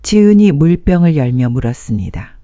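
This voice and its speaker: A woman narrates a scripted, sentimental story. Her tone is mellow and her intonation moves expressively. The sound is very dry and a little dull. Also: deep voice, big pitch swings, normal speed, no echo.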